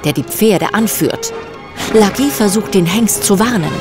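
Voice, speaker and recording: A woman's voice: mid-range voice, lively intonation, measured pace, loud music behind the voice. A woman narrating a scripted children's audio drama as its storyteller.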